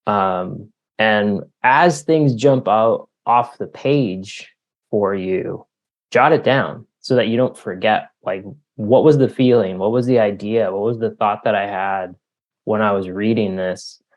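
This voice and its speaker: A man's voice: on the low side, slow pace, dull audio. A man from Canada answering interview questions in a conversational, explanatory way, thinking aloud with lots of "you know" and "like". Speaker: author being interviewed.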